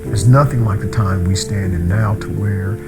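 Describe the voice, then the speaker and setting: Man, reflective testimonial, lowish pitch, earnest delivery, loud music bed behind the voice. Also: normal speed.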